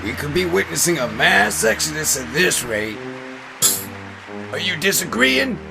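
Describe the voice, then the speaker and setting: A man's voice: fairly high pitch, sing-song, a little slow, vocal fry, over music, full character voice. A man voicing a wry, needling robot onlooker in a motion comic. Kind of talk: animated motion-comic dialogue.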